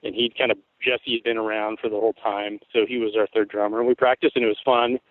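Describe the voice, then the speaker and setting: A man in his forties from Tacoma, Washington, with a rough, worn voice, telling stories in a rambling, laid-back way as a guest on an interview show. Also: on the low side, flat delivery, fast talker, dry recording. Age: 40s. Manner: rambling, laid-back storytelling with frequent swearing. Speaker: guest musician. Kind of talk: casual interview chat. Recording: tinny phone-like line, dry.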